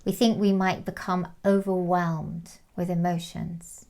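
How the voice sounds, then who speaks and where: A woman leading a guided meditation in a calm, soothing, unhurried manner, with soft phrase endings and long pauses. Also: on the low side. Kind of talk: guided meditation, gentle instruction.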